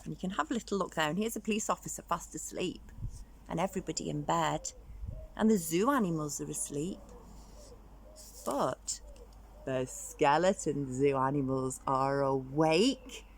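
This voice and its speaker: A woman's voice: deep voice, moderate pace, slight background noise. A woman storyteller reading a children's picture book aloud expressively, drawing out words for dramatic emphasis.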